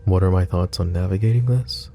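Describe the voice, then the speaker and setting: A man voicing a possessive, protective mafia boss in an ASMR roleplay, talking in a calm, confiding way with casual swearing. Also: low voice, brisk, creaky, soft background music. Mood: candid. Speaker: voice actor.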